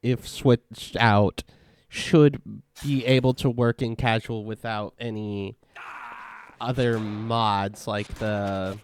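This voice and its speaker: A man walking viewers through audio editing steps in a relaxed, casual tutorial. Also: lowish voice, takes it slowly, a little hiss or hum. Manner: relaxed, step-by-step instructing. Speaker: tutorial host. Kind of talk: casual software walkthrough.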